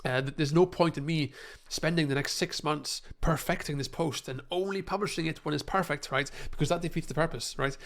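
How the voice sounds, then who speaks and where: A man's voice: a little high, brisk. A man in his thirties with a slight cold that makes him a bit nasal, coaching viewers casually in a home office with a desk microphone, talking with many fillers and restarts. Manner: repetitive, lots of fillers and restarts, addresses viewers as guys. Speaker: online business coach. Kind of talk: casual screen-share tutorial. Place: small home office room. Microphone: desk microphone in front of him.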